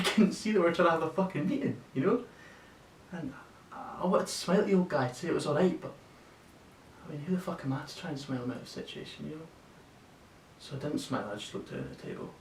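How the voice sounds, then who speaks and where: A nineteen-year-old man playing a sweary, awestruck young lad, telling a story in a halting, conversational stage monologue. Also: vocal fry. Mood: incredulous. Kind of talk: naturalistic contemporary stage monologue for an audition. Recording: echoey room, distant mic, noisy rumble.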